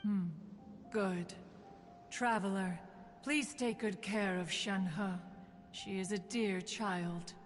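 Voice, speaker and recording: A woman's voice: medium pitch, steady tone, takes it slowly, long reverb tail, soft background music, lightly acted. An actress playing a proud, aloof ancient female immortal in a video game, speaking in stiff, archaic formality with a motherly streak. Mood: fond.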